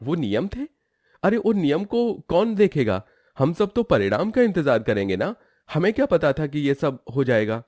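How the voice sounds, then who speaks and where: A man's voice: on the high side, very animated, moderate pace, darkish tone, no room sound, clean studio sound. A man playing a lazy young cartoon woodcutter who protests plaintively and denies all blame. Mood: whining protest.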